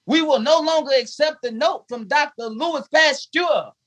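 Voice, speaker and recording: A man's voice: extremely high pitch, unhurried, pushed and bright, full character voice. A man playing a loyal but cheeky household valet in 1950s radio comedy style, with dry, sassy punchlines. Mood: wry.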